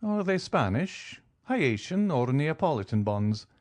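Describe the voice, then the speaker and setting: A man's voice: a little high, very animated, a little slow, a little mellow, voice pushed up. A man voicing a suave, wealthy count who speaks in a polished, teasing, formal way. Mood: amused, flattering. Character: suave wealthy male count, coolly ironic.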